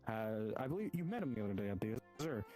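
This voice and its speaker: A man's voice: lowish voice, sing-song, fast, warm tone. A man improvising an in-character guild orientation, explaining politely and wordily. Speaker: roleplayer as hunter guild guide. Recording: music behind the voice. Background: music.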